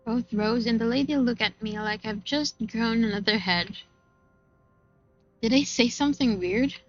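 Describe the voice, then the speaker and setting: A woman with a fairly high voice reads visual novel narration aloud as a game streamer, mixing in casual reactions. The audio is slightly dull. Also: normal speed. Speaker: gaming streamer reading aloud.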